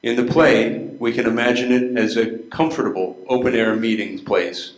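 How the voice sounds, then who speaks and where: A man's voice: mid-range voice, level tone, moderate pace. An older man reading scripted narration as the narrator of a readers theater play. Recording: echoey room.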